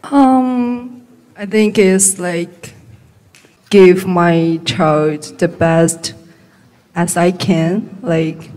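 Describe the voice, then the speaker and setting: A student in her twenties from China answers in English, her first language being Chinese. She speaks slowly and hesitantly, filling gaps. The hall adds a long reverb. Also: on the low side, fairly even intonation, a little mellow. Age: 20s. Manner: hesitant, many fillers. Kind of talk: class discussion answers. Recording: echoey lecture hall.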